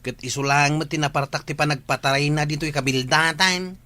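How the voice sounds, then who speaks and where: A man's voice: on the high side, brisk, bright, forceful tone. An actor playing an earnest village watchman in a radio comedy, with an Ilocano Filipino accent. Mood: informing.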